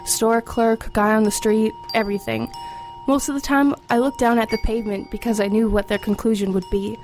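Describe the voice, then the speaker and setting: Wry, higher-voiced teenage girl, played by a woman. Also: fairly even intonation, fairly quick, a little mellow, faint music behind, lightly acted. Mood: wry, confiding. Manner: wry, confiding, matter-of-fact. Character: streetwise, wry, formerly homeless teenage girl. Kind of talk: first-person narration and dialogue in radio drama.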